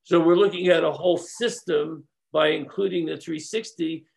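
Gravelly man explaining his business. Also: a little high, fairly even intonation. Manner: measured, explains step by step with restarts. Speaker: leadership consultant guest. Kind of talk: explanatory interview talk.

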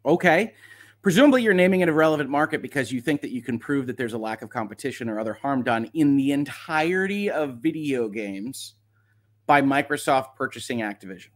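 A man's voice: medium pitch, lively intonation, a little fast. A man hosting a livestream of legal commentary, talking casually and reading aloud with wry, sardonic asides.

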